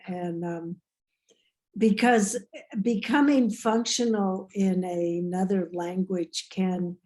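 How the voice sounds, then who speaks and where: An older woman speaks slowly on a video call, her voice mellow and soft. She talks hesitantly, with frequent fillers and pauses. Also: on the low side. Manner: hesitant, many fillers, gentle laughter. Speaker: workshop participant. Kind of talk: casual chat.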